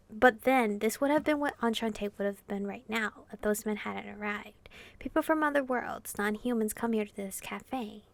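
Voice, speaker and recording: A woman's voice: fairly high pitch, creaky, no echo. A woman gamer reading a visual novel aloud expressively, in the style of a casual playthrough.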